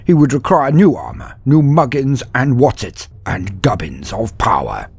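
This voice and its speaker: A man narrating a comedic mock-epic fan story in a grandiose, lively storytelling manner with dry asides. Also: mid-range voice, a little slow, gravelly, breathy edge, no echo.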